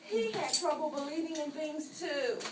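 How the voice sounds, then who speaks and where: A woman's voice: high voice, expressive, normal speed. A woman voicing a perky, earnest female puppet in a children's show, with exaggerated, preachy delivery. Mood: earnest storytelling. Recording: distant, echoey room with clear noise.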